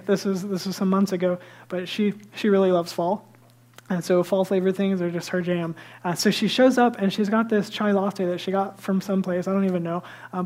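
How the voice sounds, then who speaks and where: A man with a high, light voice preaching a sermon as a pastor, telling stories lively and dropping into hushed asides. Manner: lively storytelling that drops to hushed, near-whispered asides; self-deprecating and conversational.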